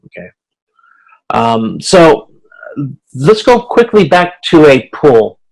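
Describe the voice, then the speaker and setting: A man's voice: a little high, sing-song, takes it slowly, vocal fry, a little mellow, rough recording. A man teaching an online class in an informal, explanatory lecture style, talking through examples and checking for understanding. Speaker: instructor leading an online class. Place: online video conference.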